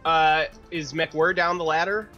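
A man's voice: fairly high pitch, steady tone, unhurried, pushed and bright. A man talking casually as a player in a tabletop game, on a slightly lo-fi recording. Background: music behind the voice.

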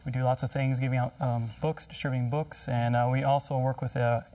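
A man in his thirties, a studio guest, introducing himself quickly with many fillers. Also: medium pitch, little pitch movement, warm tone, distant mic. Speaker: guest, production worker. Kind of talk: casual TV panel chat. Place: TV studio set, seated.

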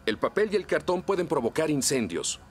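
An actor with a Latin American Spanish accent playing a dependable, good-natured firefighter in a children's cartoon dub. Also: male voice, a little high, very animated, a little fast, slightly rough, faint music behind. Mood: advising.

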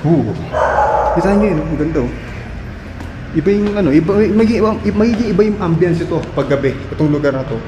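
A man in his thirties hosting a walking vlog through an abandoned building, talking chattily to a handheld camera and dropping to a hush in dark rooms. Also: on the high side, fast talker, dull audio, background noise. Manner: chatty, excitable, trails off into hushed remarks in dark rooms. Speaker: vlog host exploring abandoned places. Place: abandoned concrete building, indoors and outdoors. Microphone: handheld action camera at arm's length.

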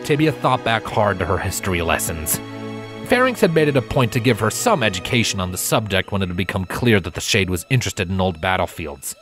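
A man's voice: low voice, very animated, brisk. A man reading scripted fantasy fiction as a storybook narrator. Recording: music bed behind narration.

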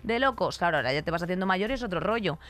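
A woman in her thirties with a fairly low voice and a Spanish accent from Spain. She chats candidly as a podcast host, blunt and emphatic, swearing freely. She sits close to a studio microphone. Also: lively intonation, racing, fairly bright tone, soft background music. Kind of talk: candid, opinionated podcast chat. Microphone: close studio microphone on arm.

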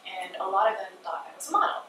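A woman's voice: mid-range voice, fairly even intonation, quick pace, sharp tone. A woman from Malaysia in her thirties, chatting casually as an interview guest on a sofa with lots of "like" and "you know". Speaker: guest, skincare founder. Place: living room, seated on a sofa. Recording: echoey room from a distance, noticeable room noise.

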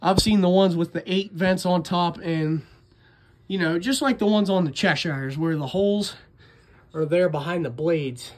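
A man with a fairly high voice narrates a homemade hobby video. He rambles along in a casual, chatty way, showing off his collection with an enthusiast's keenness. The delivery is unscripted and conversational. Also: normal speed, darkish tone, slight background noise. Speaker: vlogger, ceiling fan collector.

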